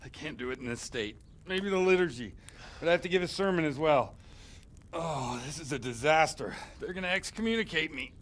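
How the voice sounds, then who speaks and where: Hungover, high-pitched village priest. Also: male voice, expressive, a little fast, a bit bright. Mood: panicked, suffering. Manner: groaning, dramatic, coarse-mouthed. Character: hungover lecherous village priest, scheming and panicky. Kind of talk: video game cutscene acting.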